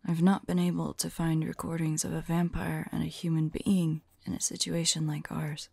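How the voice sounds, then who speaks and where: A woman playing a weary, devoted vampire lover in an ASMR audio roleplay, speaking softly and haltingly in a breathy, whispery voice. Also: deep voice, flat delivery, no echo, full character voice. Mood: weary, pensive. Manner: gentle, halting, hushed delivery. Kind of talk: ASMR audio roleplay, soft intimate acting.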